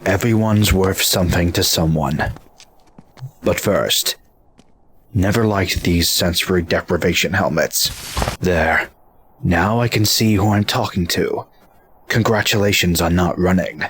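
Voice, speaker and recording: A man's voice: sits low, a little slow, long pauses, a bit bright, slight background noise. A man playing an earnest, plain-spoken robot squad leader in an audio drama. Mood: curious, earnest. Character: earnest, principled robot hero leading a squad.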